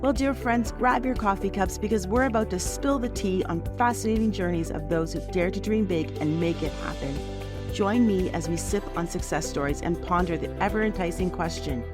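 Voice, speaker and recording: A woman's voice: medium pitch, brisk, music in the background. A woman hosting a podcast, moving between scripted introduction and interview questions. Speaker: podcast host.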